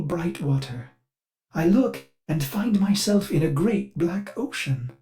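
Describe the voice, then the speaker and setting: Game role: male ship AI acted by a man, haunted and devoted, mid pitch, slow theatrical monologue delivery. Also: darkish tone, lightly acted. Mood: wistful, devoted. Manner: slow, deliberate, theatrical storytelling. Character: shipboard AI mind, once a brutal warrior, devoted, haunted. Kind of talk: dramatic scripted game monologue.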